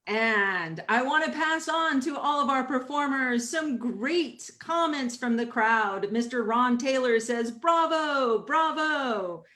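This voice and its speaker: A woman's voice: sits high, expressive, takes it slowly, hardly any pauses, bright, forceful tone. A woman acting as MC of a virtual stage event, announcing performers at the curtain call.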